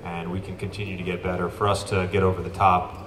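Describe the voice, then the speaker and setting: A young man, a pro basketball player, answering press questions in a steady, measured way with a light joke now and then. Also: deep voice. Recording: echoey room, distant mic, crowd.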